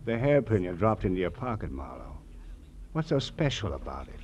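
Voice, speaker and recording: A man's voice: low-pitched, sing-song, normal speed, a little mellow. An American man playing a cynical, scheming sleuth in a 1940s radio crime drama, with a needling, insinuating way of talking. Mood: suspicious. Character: sly, cynical, scheming male crooked sleuth. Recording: muffled, noisy old radio recording.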